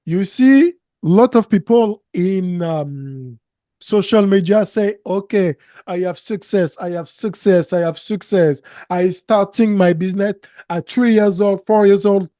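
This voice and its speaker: A man's voice: high-pitched, dark tone, dry recording, clean studio sound. A man with a French accent and French as his first language, a business coach speaking earnestly as an interview guest. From Canada. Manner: slow, earnest, emphatic, with non-native grammar slips. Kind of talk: interview conversation.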